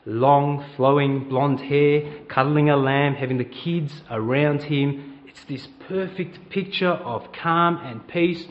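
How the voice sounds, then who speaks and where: A man's voice: fairly high pitch, steady tone, slow, few pauses, lo-fi sound. A middle-aged man with an Australian accent, preaching a conversational sermon in church and asking the congregation questions. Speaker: pastor.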